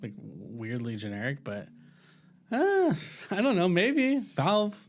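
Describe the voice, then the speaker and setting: A man's voice: high-pitched, wildly swooping pitch, slow pace. A man talking casually as a podcast panelist, explaining things in an eager, repetitive way. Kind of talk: casual group discussion.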